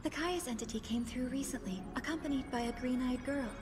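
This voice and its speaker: A woman's voice: high-pitched, a little fast, noisy background, close to an everyday voice. An actress playing a polite young female android with a precise, detached, formal manner in a video game. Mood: matter-of-fact. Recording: game music behind the voice.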